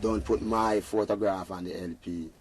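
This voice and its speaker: A man's voice: fairly low pitch, steady tone, normal speed, a little mellow, a little hiss or hum. A Jamaican man, a reggae singer being interviewed, talking in an earnest, rambling way with patois phrasing. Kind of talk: casual interview talk.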